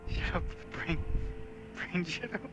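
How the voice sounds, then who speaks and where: A man's voice: slow, over loud music. A man doing casual, playful game playthrough banter, often breaking into giggles as he reads text aloud. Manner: playful, easily breaks into giggles mid-sentence. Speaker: video game streamer.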